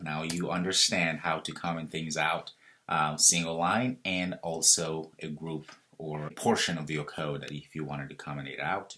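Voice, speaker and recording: A man's voice: a bass voice, bright, forceful tone. A man in his thirties teaching programming over a screen recording, explaining step by step, thinking aloud and correcting himself as he goes. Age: 30s. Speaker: programming instructor. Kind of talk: screen-recorded coding tutorial.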